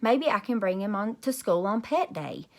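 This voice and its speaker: A woman voicing a bossy, excitable kindergarten girl in a storybook, emphatic and childishly worded. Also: mid-range voice, moderate pace, natural voice. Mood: eager. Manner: childish grammar, emphatic, blurting.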